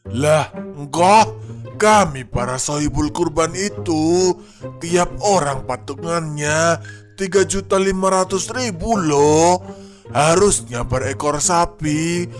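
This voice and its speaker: A man voicing a concerned, firm but frail elderly cleric in a cartoon, with deliberate, polite speech. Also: fairly high pitch, faint music behind. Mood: shocked, firm. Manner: deliberate, polite, pious exclamations. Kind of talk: animated cartoon dialogue.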